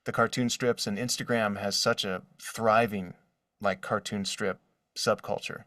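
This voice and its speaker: Guy being interviewed, casual and expressive, really into it, bit far from the mic, no echo. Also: fairly low pitch, unhurried, studio quality. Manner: enthusiastic, expressive. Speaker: guest, voice actor and artist.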